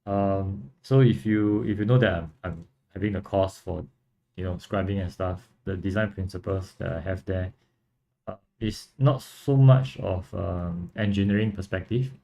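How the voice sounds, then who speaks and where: A young man from Singapore with a Singaporean accent, casually chatting on a livestream and answering viewers in a relaxed, rambling way. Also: sits low, moderate pace, vocal fry. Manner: relaxed, rambling, frequent fillers and restarts. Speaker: livestream host and model kit builder.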